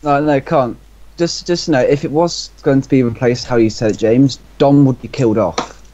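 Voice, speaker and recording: A man's voice: mid-range voice, moderate pace, mellow. A young man chatting casually with friends, interjecting insistently and often repeating himself. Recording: dull lo-fi audio, faint music.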